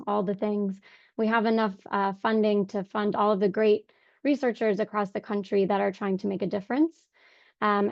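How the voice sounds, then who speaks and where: A young woman with a voice hoarse from a cold, giving an earnest webinar talk on fundraising with many ums. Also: mid-range voice, no echo. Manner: frequent ums, earnest and conversational. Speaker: fundraising director. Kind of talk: webinar presentation. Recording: dull lo-fi dry sound.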